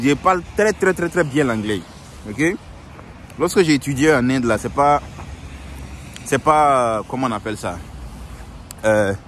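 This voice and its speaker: A young man talks straight to the camera, earnest and insistent, repeating words and restarting as he gives advice. His voice sits at an ordinary middle pitch. Faint car and street noise hums behind him outdoors. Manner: earnest, repetitive, with many restarts and fillers. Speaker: vlogger giving advice. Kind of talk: casual advice monologue.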